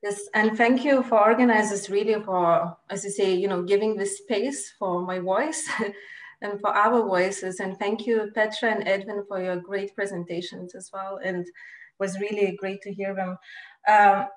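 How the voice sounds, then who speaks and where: A woman's voice: medium pitch, steady tone, moderate pace, poor audio. A woman researcher giving a webinar talk over a video call, hesitant, with frequent fillers and restarts. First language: not Danish. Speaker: postdoc researcher, webinar speaker. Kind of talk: academic talk.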